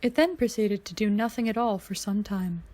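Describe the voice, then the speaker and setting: A woman's voice: mid-range voice, normal speed, darkish tone. Woman narrating fiction. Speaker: podfic narrator.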